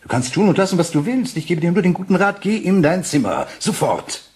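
Stern, domineering husband in a radio drama. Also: male voice, high voice, fairly quick, a little hiss or hum. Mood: angry, threatening. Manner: stern, reproachful, condescending, swinging from calm to harsh. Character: cold, domineering husband tormenting his fragile wife. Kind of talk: German radio play acting.

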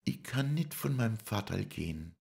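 A warmhearted young traveling girl, voiced by a man reading a novella aloud speaks in a soft southern German dialect. Her lines rise and fall with feeling, and his gravelly texture stays underneath. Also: fairly low pitch, big pitch swings, moderate pace, voice pushed up. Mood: anxious. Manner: heartfelt, lilting dialect speech. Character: young woman traveling puppeteer's daughter, warmhearted and spirited.

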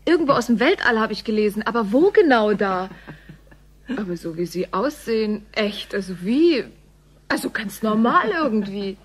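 A woman's voice: medium pitch, expressive, moderate pace, slightly rough. An actress playing a persistent, cheeky young woman with a hesitant, colloquial manner in a naturalistic radio play. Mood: nervous. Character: flustered, persistent, cheeky young woman seeking her father.

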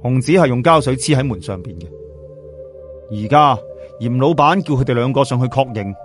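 A man narrating a story in an expressive, colloquial storyteller style, with a southern Chinese Cantonese accent. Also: mid-range voice, a little fast. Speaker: audiobook storyteller. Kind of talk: animated scripted storytelling narration. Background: background music.

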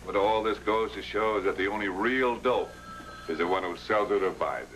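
An actor playing an earnest, deadpan police detective in a television comedy. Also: male voice, fairly low pitch, moderate pace, close to an everyday voice. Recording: old TV broadcast under loud music.

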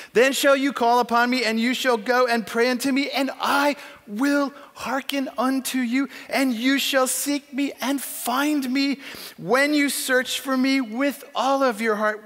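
A middle-aged man preaching on a large church stage, emphatic and animated, acting out quoted lines as he tells a story. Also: extremely high pitch, vocal fry. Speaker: conference speaker. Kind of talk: sermon with storytelling. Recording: long hall reverb.

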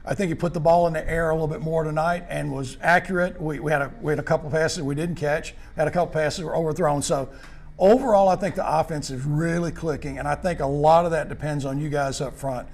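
An older man interviewing high school football players in a clubhouse after a game, upbeat and full of praise. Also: fairly even intonation, quick pace, darkish tone. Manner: upbeat, praising, rambling questions. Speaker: postgame interviewer. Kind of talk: postgame sports interview.

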